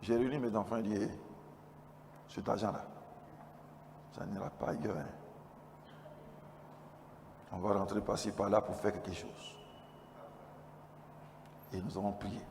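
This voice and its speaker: Ivorian pastor in a reverberant, noisy hall. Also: male voice, on the low side, steady tone, a little slow, vocal fry, long pauses. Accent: Ivorian French. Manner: swings from quiet asides to loud exclamations. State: recovering from a cold and strained throat. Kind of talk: church convention address, testimony. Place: large church convention hall.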